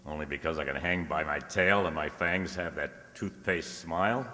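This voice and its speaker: Man playing a deep-voiced wisecracking private eye. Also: moderate pace, sharp tone. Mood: playfully cocky. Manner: sardonic, wisecracking. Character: wisecracking male private detective, flirtatious, cocky, breezy. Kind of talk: old-time radio detective drama acting. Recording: reverberant hall, stage-like echo.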